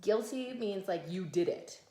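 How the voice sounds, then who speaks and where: A woman's voice: mid-range voice, a little slow, warm tone, slight background noise. A woman reading a picture book aloud as a mother to children, explaining things patiently in simple, conversational words. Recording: echoey room.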